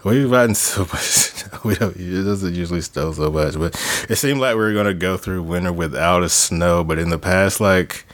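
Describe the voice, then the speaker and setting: A man co-hosting a podcast from home, chatting casually about a TV episode in a laid-back, halting way with many fillers, sniffling with a cold. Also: sits low. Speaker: podcast co-host. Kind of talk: casual chat reviewing a TV episode. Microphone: home microphone on a call.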